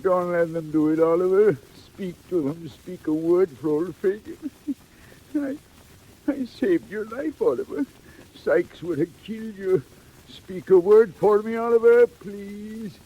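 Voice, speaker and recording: An actor playing an old criminal, wheedling and pleading in a theatrical 1940s audio drama style. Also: male voice, high voice, a little slow, warm tone, breathy edge, muffled recording. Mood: desperate pleading. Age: older. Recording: hissy vintage 1940s record.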